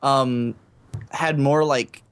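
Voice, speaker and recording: A man's voice: medium pitch, fairly even intonation, drawn-out words, a bit bright, smooth. A man co-hosting a podcast, talking through his opinions in a thoughtful, hedging way with many fillers. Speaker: podcast co-host. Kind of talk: casual podcast chat.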